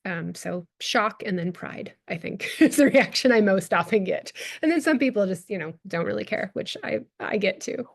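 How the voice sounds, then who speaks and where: American academic woman, 30s; thoughtful Q&A answers, halting, frequent ums. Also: medium pitch, lively intonation, gravelly. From United States. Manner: hesitant, many fillers and restarts, laughs often. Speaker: guest speaker, anthropologist. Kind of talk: lecture Q&A answer.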